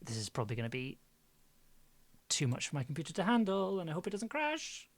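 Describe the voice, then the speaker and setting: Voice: mid-range voice, huge pitch swings, fairly quick. A livestreamer chatting casually and thinking aloud to themself while working as an audio editor. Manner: thinking aloud, muttering to self. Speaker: streamer, audio drama editor.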